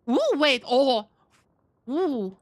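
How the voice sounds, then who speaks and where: A woman giving casual, chatty live commentary on a video game, excitable and often repeating her phrases. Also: sits high, very slow. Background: game music.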